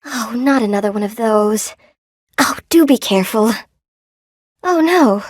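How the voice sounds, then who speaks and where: Game role: sweet, lonely spider-woman shopkeeper, gentle and eager to please, fairly high voice, unhurried, dry studio sound. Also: lively intonation, no room sound. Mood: flustered, apologetic. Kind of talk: video game voice acting, short character barks.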